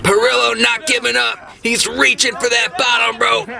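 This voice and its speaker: A man calls wrestling in a high voice with a gravelly edge. He is dramatic and emphatic, often shouting his play-by-play over clearly noticeable background noise. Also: flat delivery, moderate pace, a crowd in the background. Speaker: wrestling commentator. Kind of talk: excited play-by-play sports commentary.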